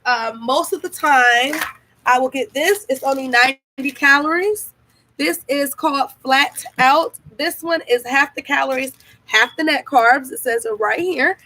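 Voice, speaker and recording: A woman's voice: high voice, pushed and bright, rough recording. A young woman chatting enthusiastically to viewers in a home vlog, recorded close up on a built-in webcam mic. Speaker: vlogger. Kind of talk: casual diet vlog, showing food to camera. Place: small room at home, indoors. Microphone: built-in camera mic, webcam at close range.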